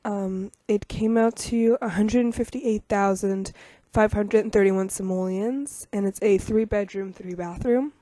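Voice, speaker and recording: A young woman with a rough voice from getting over a cold, chatting casually over a game video with lots of ums and self-deprecating asides. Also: medium pitch, fairly even intonation, a little slow, hardly any pauses, dark tone, dry recording. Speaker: YouTuber narrating a game speed build.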